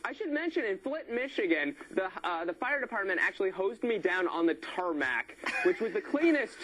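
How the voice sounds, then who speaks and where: Comedian guest, male, bright jokey delivery, high and lively pitch. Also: normal speed, rough recording. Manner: upbeat, joking, animated. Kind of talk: live TV interview via remote feed.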